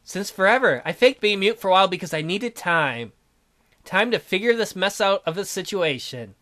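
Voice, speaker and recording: A man's voice: high voice, expressive, moderate pace, sharp tone. A man voicing a weary young noblewoman who speaks in an earnest, confessional way. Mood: confessing. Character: weary, sorrowful young noblewoman, regretful ruler.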